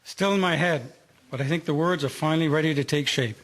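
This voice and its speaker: A man's voice: a little high, a little fast. A man playing a grave, reflective newspaper editor in a radio drama, solemn and literary in manner. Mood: thoughtful. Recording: loud guitar music under voice.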